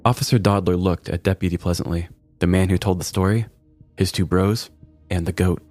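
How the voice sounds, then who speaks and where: A man's voice: low voice, fairly even intonation, fairly quick, a little mellow, dry recording, faint music behind. A man, a podcast host, narrating a scripted comic story in a wry, dramatic storytelling manner.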